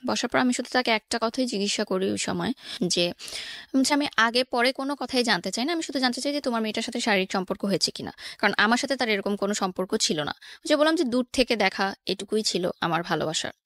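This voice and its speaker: A woman with a fairly high voice and a Bangladeshi accent recounts her own life as a guest. She drops English words into her sentences. The sound is dry and close. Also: animated, quick pace, a bit bright, dry recording. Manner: code-mixes English words into speech. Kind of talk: personal storytelling in a radio interview.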